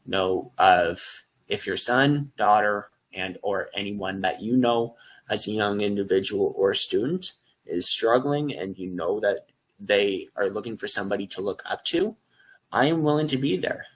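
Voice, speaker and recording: A man's voice: on the low side, a little slow, fairly bright tone, slightly rough, poor audio. A young man speaking as a guest over a video call, talking earnestly and at length about offering life coaching. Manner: earnest and rambling, with restarts. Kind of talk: earnest promotional talk.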